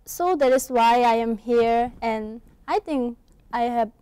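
A young Korean woman speaks English as a second language, in a smooth, clear and somewhat high voice. She talks slowly, in halting simple phrases broken by soft laughs. Her lapel mic gives a close, dry studio sound. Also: no room sound. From South Korea. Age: young adult. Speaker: student guest. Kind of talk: studio interview. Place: small college TV studio.

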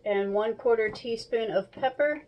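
A woman's voice: fairly high pitch, unhurried, lo-fi sound. A woman in her thirties giving a casual, chatty cooking tutorial at home, talking through each step. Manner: chatty, instructional. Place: small home kitchen, indoors. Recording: clear background noise and clicks.

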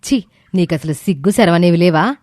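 A woman voicing a sharp-tongued, exasperated mother-in-law who scolds sarcastically in an audio story. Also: lowish voice, animated, moderate pace, no room sound, clean studio sound, natural voice. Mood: scornful.

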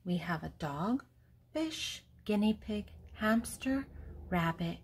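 An older woman reading a picture book aloud to young children in a deliberate, teacherly way, posing questions and answering them, with pauses between words. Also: mid-range voice, animated, slightly rough.

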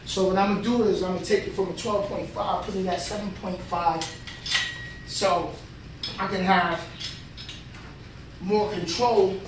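Man in his thirties, plain-spoken motivational vlogger, fairly high voice, a bit off mic. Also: fairly even intonation, measured pace, long pauses, distant mic, noisy background. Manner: motivational, plain-spoken, talks between sets. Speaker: vlogger, trucker. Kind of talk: casual workout vlog. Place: small indoor gym. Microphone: camera mic from a few feet away. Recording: echoey room, voice a bit off mic.

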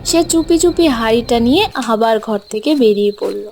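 A woman's voice: a little high, animated, a little fast, gravelly, warm tone, slight background noise. A woman narrating a scripted children's fairy tale as the storyteller. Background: music.

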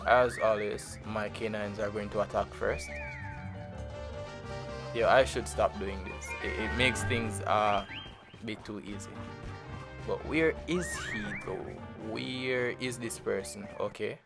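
A Jamaican man chats casually through a round of a mobile battle royale, circling back on his words and leaning on fillers. His voice sits fairly low. Game music plays clearly underneath him. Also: fairly even intonation, takes it slowly. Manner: laid-back and rambling, with many fillers and repeats. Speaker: gaming channel host. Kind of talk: casual gameplay commentary.

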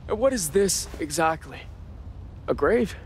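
A man's voice: a little high, lively intonation, a little slow. A male actor playing an earnest, frustrated young man pleading insistently with family in a video game drama. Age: young adult. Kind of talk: cinematic game drama acting. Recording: faint ambient noise behind the voice.

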